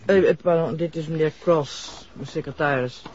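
A woman playing a reserved, mysterious doctor in a 1960s Dutch radio play, speaking formally and deliberately with some hesitation. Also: low voice, darkish tone, a little hiss or hum, close to an everyday voice. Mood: cool, formal. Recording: dull, dry vintage radio recording.

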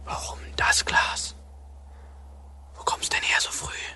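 A man's voice: slow pace, sharp tone, whispered. A male actor playing an anxious, loyal young boy in a radio drama, questioning naively with a North German accent. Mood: hushed, puzzled.

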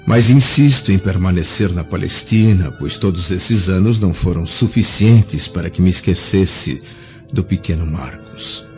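An actor playing a proud, austere, grieving middle-aged Roman senator in a radio drama, speaking in a solemn, formal, measured way. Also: male voice, deep voice, darkish tone. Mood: anguished longing. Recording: dull band-limited audio with music behind.

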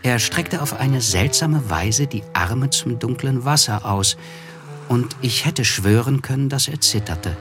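An actor playing a reflective, wryly observant gentleman who narrates a literary radio play in a measured way. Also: mid-range voice, lively intonation, close to an everyday voice. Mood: wondering, hushed. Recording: music under the voice. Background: music.

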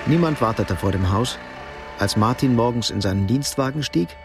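A man narrating a radio crime drama in a measured, matter-of-fact way. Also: mid-range voice, expressive, music in the background, natural voice. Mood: sober. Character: sober third-person narrator of a crime story.

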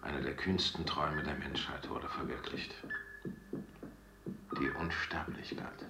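A deep-voiced grandiose scientist. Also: male voice, steady tone, unhurried. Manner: paternal, courtly, pompous. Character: suave, grandiose scientist proud of conquering death. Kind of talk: German radio drama acting. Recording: echoey, loud background noise.

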